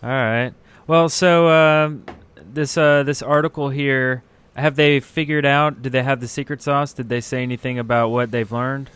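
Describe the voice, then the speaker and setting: A man's voice: mid-range voice, steady tone, moderate pace, few pauses, fairly bright tone, smooth. A man co-hosting a podcast, chatting in a laid-back, informal way. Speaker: podcast co-host. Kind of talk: casual chat.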